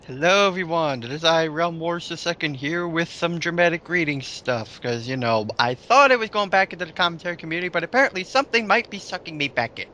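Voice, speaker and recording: A man's voice: on the high side, lively intonation, quick pace, few pauses, pushed and bright, slight background noise. A man co-hosting a casual commentary chat in a rambling, emphatic, sweary way. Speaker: commentary video co-host.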